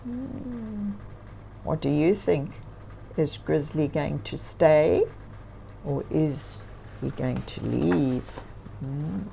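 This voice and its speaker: A woman reading a children's picture book aloud as a storyteller, with dramatic, expressive emphasis and chatty asides to young listeners. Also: deep voice, very slow, creaky, pauses often, a little hiss or hum, low-quality recording.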